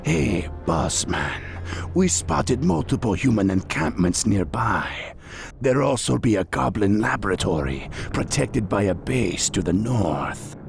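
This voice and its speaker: Low gravelly troll scout. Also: male voice, animated, unhurried. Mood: easygoing report. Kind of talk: video game cinematic acting. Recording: game music behind the voice.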